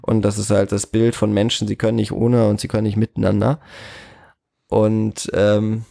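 A man in a casual interview as a guest, slightly croaky from a cold, speaking in a hesitant, reflective way. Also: on the low side, monotone, moderate pace, warm tone, no echo, clean studio sound. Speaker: interview guest, cinema manager.

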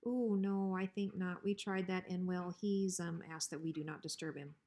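A woman's voice: on the low side, steady tone, normal speed, mellow, close to an everyday voice. A woman voicing a pompous, bossy but cowardly male ranch dog in a children's storybook. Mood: nervous flattery. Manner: officious, blustering.

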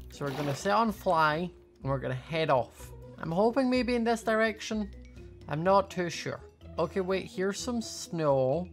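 Chatty young woman with a creaky voice. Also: deep voice. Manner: chatty, thinking aloud, playful. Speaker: gaming video host. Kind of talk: casual gameplay commentary. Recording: faint music behind voice. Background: faint music.

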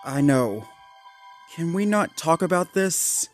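A man's voice: a little high, very animated, slow pace, soft background music, voice pushed up. A man voicing an anxious, loyal cartoon Dalmatian pup with a hesitant, pleading manner. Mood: stammering, upset.

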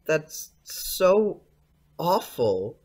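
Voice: mid-range voice, very animated, extremely slow, a little mellow, husky, full character voice. An actor playing a polite, skeptical, uneasy schoolboy in an audio play, speaking hesitantly. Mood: sympathetic. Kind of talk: audio drama acting.

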